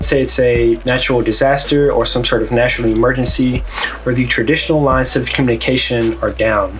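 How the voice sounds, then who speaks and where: A man hosting an online video, explaining gear in a casual, conversational way. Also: medium pitch. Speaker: YouTube host. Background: background music.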